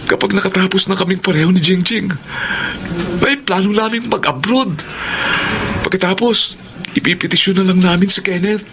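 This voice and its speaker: A man's voice: high-pitched, normal speed, background noise. A man acting a hopeful young father and younger brother, respectful and earnest, in a family audio drama. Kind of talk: dramatic Tagalog radio-style drama acting.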